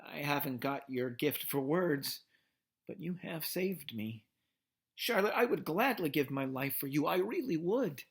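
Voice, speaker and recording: A man doing a high, frantic young pig. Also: expressive, measured pace. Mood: eager. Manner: pleading, emotional, high-strung. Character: squealing young male pig, anxious and devoted. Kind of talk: storybook read-aloud.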